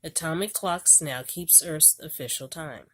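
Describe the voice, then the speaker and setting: A woman with a low voice reads aloud from an educational picture book for children. Her phrasing is deliberate, in the way of someone telling a storybook to young learners. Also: fairly bright tone, husky, dry recording. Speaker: homeschool parent reading a book aloud.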